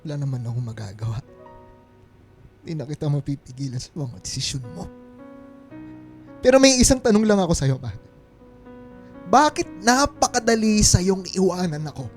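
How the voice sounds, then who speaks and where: A man playing a heartbroken, self-sacrificing husband and father in a radio drama, with a Filipino accent and a halting, emotional delivery. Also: on the high side, very animated. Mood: desperate pleading. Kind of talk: Tagalog radio drama acting. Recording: music under the voice.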